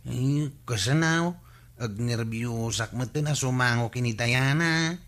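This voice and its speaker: Bright-voiced bashful Ilocano bachelor. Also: male voice, mid-range voice, unhurried. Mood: eager. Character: bashful lovestruck bachelor nephew, earnest and eager. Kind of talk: Ilocano comedy radio drama acting.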